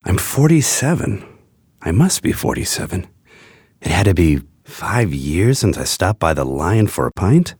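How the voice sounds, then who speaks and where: A self-absorbed, bookish loner in his forties mutters his thoughts aloud in an audio drama. His voice sits extremely low yet swings widely, with a husky grain and a dry, close sound. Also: big pitch swings, unhurried, a little mellow, dry recording, heavily acted. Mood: musing. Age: 40s. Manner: musing, self-absorbed, talking to himself. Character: fussy scholarly bachelor in his forties. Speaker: Walter. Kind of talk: radio drama acting.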